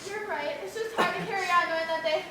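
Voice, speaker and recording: A teenage girl plays a melodramatically grieving young wife on stage, earnest and halting. Her voice is extremely high. It comes from far off in an echoing, noisy hall. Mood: anguished. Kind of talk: high school stage comedy acting. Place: large theater stage, indoors. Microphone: far camera.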